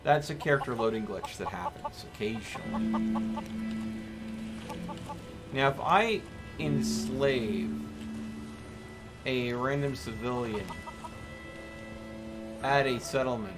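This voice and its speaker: A man's voice: medium pitch, lively intonation, unhurried, pauses often. A man streaming a video game, giving casual commentary and rambling as he thinks aloud. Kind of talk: casual live gameplay commentary. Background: game music.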